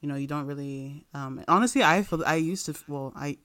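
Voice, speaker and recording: A young podcast co-host from Nigeria asks questions in a friendly, casual way. This host often pauses to search for words. The voice sits in a middle register. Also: medium pitch, a bit bright. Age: young adult. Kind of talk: casual interview chat.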